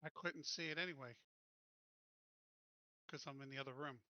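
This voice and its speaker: Guy playing a tabletop game, kinda high very sharp voice, dry lo-fi audio, casual chat. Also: normal speed, no echo. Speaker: player in a tabletop roleplaying game.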